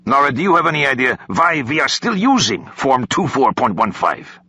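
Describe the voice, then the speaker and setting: A man's voice: medium pitch, very animated, moderate pace, bright, forceful tone, breathy edge, no echo, voice pushed up, exaggerated voice. A man voicing an aging, frustrated admiral with a Russian accent and a formal, wryly grumbling manner in an audiobook. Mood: exasperated. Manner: formal, stilted, exasperated.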